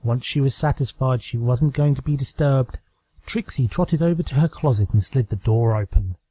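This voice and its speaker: A man's voice: mid-range voice, moderate pace, warm tone, slightly rough. A man reading scripted fan fiction aloud as an amateur narrator. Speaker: amateur story reader.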